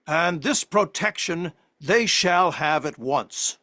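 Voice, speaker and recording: Man as solemn statesman, high voice, formal oratory, stage-play reading, dry sound. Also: animated, slow, pushed and bright, dry recording, heavily acted. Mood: gracious, formal.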